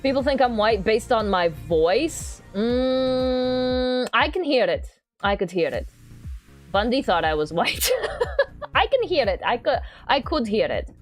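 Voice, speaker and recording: Female livestreamer, casual and playful, lively swooping pitch, rambling and repetitive delivery over soft background music. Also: a little slow. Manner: playful, rambling, repeats herself. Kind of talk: casual chat with viewers while doing crafts on stream.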